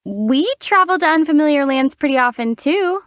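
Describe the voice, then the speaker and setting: A calm young traveler heroine. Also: female voice, sits high, normal speed, no room sound. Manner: calm, gently wry replies. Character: calm young traveler heroine, level-headed and gently wry. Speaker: game protagonist. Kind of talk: video game character dialogue. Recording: dry, close studio voice.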